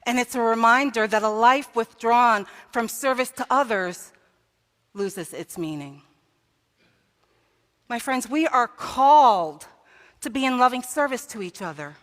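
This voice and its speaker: A woman's voice: fairly high pitch, expressive, measured pace, pauses often, fairly bright tone. A woman minister preaching a sermon in a church, telling a story with rhetorical questions and building to emphatic peaks. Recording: long hall reverb.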